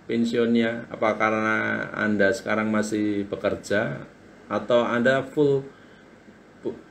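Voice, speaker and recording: Forties guy doing a live book chat, mid pitch, bit of faint noise behind. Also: a bit bright. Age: 40s. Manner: reads viewer comments aloud, chatty and motivational. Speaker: live-stream business coach. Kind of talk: live-stream book talk.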